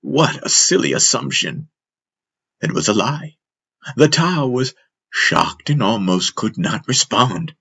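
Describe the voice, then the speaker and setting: A man narrating a fantasy audiobook in a measured, solemn, dramatic storytelling style. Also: medium pitch, very animated, gravelly, sharp tone, slightly rough.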